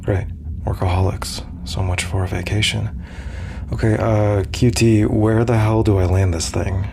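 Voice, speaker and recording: An actor playing an awkward, earnest space commander who stammers and mutters nervously to himself in an audio drama. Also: male voice, fairly even intonation, a little slow, noisy background. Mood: wry, unsure. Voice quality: low, gravelly. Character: awkward, earnest space commander, self-deprecating emissary, smitten. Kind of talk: immersive first-person audio drama.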